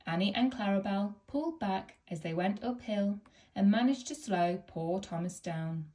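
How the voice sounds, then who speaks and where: A woman reading a children's picture book aloud in a gentle, expressive storytelling manner. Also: medium pitch, normal speed.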